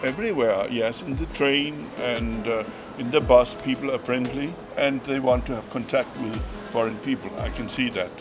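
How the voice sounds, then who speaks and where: A man being interviewed as a traveler, who answers in halting sentences and often restarts his words. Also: medium pitch, over music.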